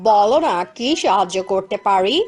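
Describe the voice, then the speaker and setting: Lady putting on a stingy neighbour lady in a cartoon, lower and slow, brushing you off. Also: lively intonation, darkish tone, soft background music. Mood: dismissive. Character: stingy neighbour woman, curt and dismissive.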